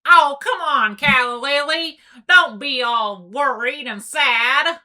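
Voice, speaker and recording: A woman's voice: high-pitched, takes it slowly, sharp tone, heavily acted. A woman voicing a bossy, teasing pony sea captain with pirate-style speech in a cartoon comic dub. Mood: coaxing, assured.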